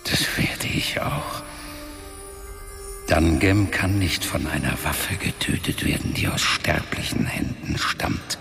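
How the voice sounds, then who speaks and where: An actor in a German fantasy radio drama playing a stern, long-dead warrior father who gives measured commands from a magic book. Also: a bass voice, creaky, fairly bright tone, full character voice. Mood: stern, wry. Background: music.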